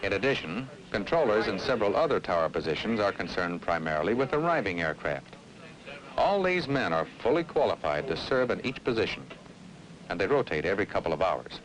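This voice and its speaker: A man's voice: fairly low pitch, very animated, brisk, low-quality recording. An actor playing a patient airline man, explaining procedures in scripted educational film narration. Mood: instructive. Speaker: aviation professional explaining a flight to a passenger. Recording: old film soundtrack, faint background noise.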